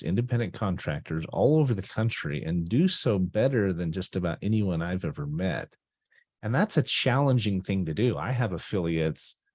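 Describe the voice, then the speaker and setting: A man's voice: low voice, a little mellow, dry recording, studio quality. A man hosting a talk radio interview over a video call, enthusiastic and rambling, with many uhs and restarts. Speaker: host.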